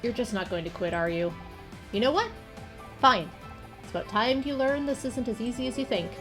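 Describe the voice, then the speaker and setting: An exasperated police detective from a visual novel game, acted out by a woman. The delivery is brusque, gruff and challenging, with music clearly heard underneath. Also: fairly high pitch, animated, normal speed, fairly bright tone.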